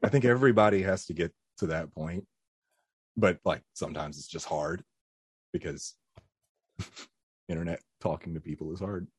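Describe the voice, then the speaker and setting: Husky low-voiced young man, chatty. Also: moderate pace, pauses often, no room sound, clean studio sound. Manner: laughs often, chatty and upbeat. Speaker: voice actor guest. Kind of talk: casual interview chat.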